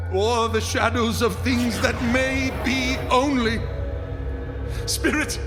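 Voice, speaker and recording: High-pitched, pleading old miser. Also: male voice. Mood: fearful, solemn. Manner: theatrical, halting, pleading. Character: frightened, repentant old male miser pleading with a spirit. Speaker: lead stage radio actor. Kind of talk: live radio theater acting. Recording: reverberant hall, music behind.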